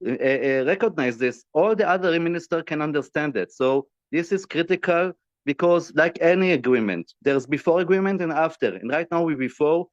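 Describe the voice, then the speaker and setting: A man's voice: fairly high pitch, animated, fast, no room sound, dull audio. A man from Israel making an urgent appeal in broken English, with many hesitations and fillers. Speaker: activist speaker. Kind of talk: impassioned appeal in halting English.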